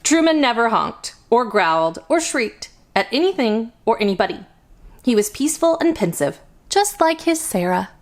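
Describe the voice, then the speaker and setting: Expressive, high-pitched woman reading a children's story. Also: normal speed. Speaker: children's storytime host and reader. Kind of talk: picture-book read-aloud.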